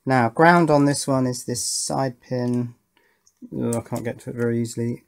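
A middle-aged man talks through hobby electronics at an indoor bench. His pitch is average for a man. He thinks aloud in a measured, casual way, often asking himself questions and then answering them. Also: fairly even intonation, gravelly. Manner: musing, self-questioning, measured. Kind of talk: casual hands-on workbench commentary, thinking aloud.

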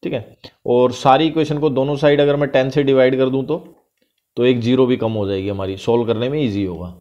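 A man's voice: medium pitch, steady tone, mellow, highs cut off. A male math teacher with an Indian accent, talking through a worked problem step by step over a screen recording. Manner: explains step by step, reads English question lines slowly.

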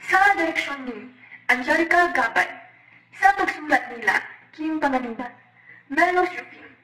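Young female radio drama announcer, high bright voice, scripted read in an echoey space. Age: young adult. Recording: echoey room sound.

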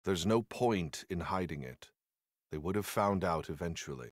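A man voicing a calm demon dog companion in a video game, matter-of-fact and blunt. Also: deep voice, moderate pace, no echo, clean studio sound. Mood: grave. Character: deep-voiced, calm, frank male demon dog companion. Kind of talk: anime-style video game voice acting.

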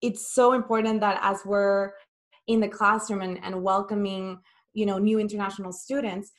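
A woman's voice: medium pitch, level tone, moderate pace. A young woman from El Salvador presenting earnestly in a webinar over a video call. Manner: earnest, with fillers.

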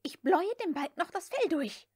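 A woman voicing a headstrong, impatient young Southern woman in an audiobook, speaking in a brisk, commanding way. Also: very high voice, lively intonation, darkish tone, voice pushed up. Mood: angry, urgent.

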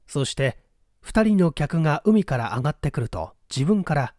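Lively, dry-sounding male story narrator. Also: fairly high pitch, moderate pace, dry recording. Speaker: audiobook narrator, small-theatre stage actor. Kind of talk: scripted narration, mystery novel read aloud.

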